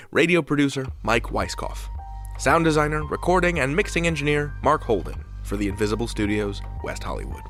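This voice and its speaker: A man hosting a podcast, speaking in a playful, theatrical announcer's way with deliberate pauses. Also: medium pitch, fairly bright tone. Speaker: podcast host. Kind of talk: scripted podcast narration and interview.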